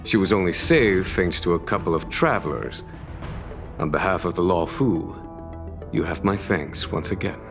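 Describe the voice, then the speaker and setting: A man acting as a wise, courteous military commander in a video game, speaking in a formal, measured and deliberate manner. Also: low voice, expressive. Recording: background music under the voice.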